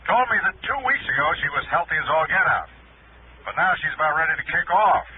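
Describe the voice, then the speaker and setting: Fretful American insurance man, highish animated voice, chatty and hesitant, tinny telephone effect. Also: fairly quick, slightly rough, full character voice. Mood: concerned. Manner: hesitant, colloquial. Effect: telephone filter. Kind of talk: 1960s American radio drama acting. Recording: tinny phone effect on faintly noisy old recording.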